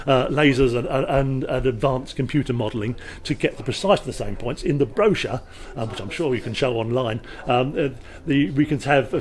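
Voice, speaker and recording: Jocular man pitching a product. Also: darkish tone. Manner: jocular, emphatic salesman's patter with wry asides. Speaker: loudspeaker company representative. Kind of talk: informal product explanation at a trade show. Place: hi-fi trade show.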